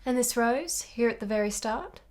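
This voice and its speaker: A woman acting in a radio play as a genteel, curious married gentlewoman of the nineteenth century, polite and inquisitive. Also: a little high, expressive, normal speed, natural voice. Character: gracious, curious married gentlewoman in 1870s Italy.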